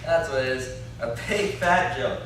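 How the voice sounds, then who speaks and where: A man's voice: mid-range voice, a little slow, close to an everyday voice. A young man playing an indignant, blustering country captive in an amateur stage play, with a folksy, complaining, threatening way of talking. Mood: uneasy, blustering. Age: young adult. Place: classroom-like room, indoors. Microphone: far camera. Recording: echoey room, distant camera mic, faint noise.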